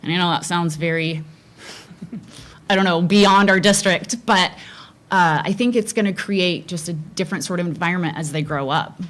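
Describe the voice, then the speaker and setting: Earnest woman with a lowish voice. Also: fairly bright tone. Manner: earnest, halting with restarts. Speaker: school board candidate. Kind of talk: answering forum questions.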